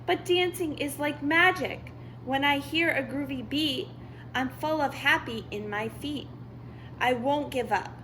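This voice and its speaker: A woman's voice: high voice, measured pace, fairly bright tone, a little hiss or hum, rough recording. A woman voicing an eager, hopeful boy cat from a picture book, with a bouncy, earnest manner. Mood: determined, hopeful. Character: eager, hopeful young male cartoon cat who loves dancing.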